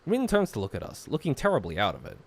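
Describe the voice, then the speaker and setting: A man streaming, reading a visual novel aloud and commenting casually in an animated, giggly manner. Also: mid-range voice, fast.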